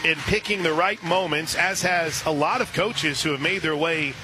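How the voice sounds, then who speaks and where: An American man doing live radio play-by-play of softball, chatty and folksy between pitches. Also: normal speed. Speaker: play-by-play announcer. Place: outdoor softball stadium. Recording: noisy outdoor ballpark broadcast. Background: loud ambient ballpark noise.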